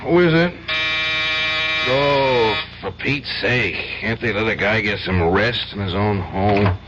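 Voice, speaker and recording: A man's voice: fairly low pitch, slow. An actor playing an idealistic, hot-tempered young male lawyer with an American accent, sarcastic and argumentative, in 1970s radio drama style. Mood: weary, sardonic. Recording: dull, noisy old radio broadcast.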